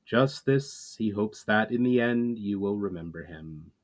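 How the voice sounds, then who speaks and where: A man voicing a proud elderly orc woman, a calm clan leader who speaks slowly and formally in a storybook reading. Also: fairly low pitch, natural voice. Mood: solemn, formal.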